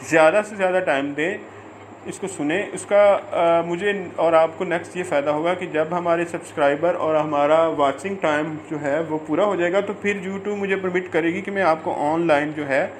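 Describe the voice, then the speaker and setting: A Pakistani man with a high voice lectures informally, in the manner of a law teacher. He goes haltingly, repeating phrases and switching between reading formal text and explaining it. Faint noise sits behind the homemade audio recording. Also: poor audio. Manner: halting, repeats phrases, stretches out English words when reading.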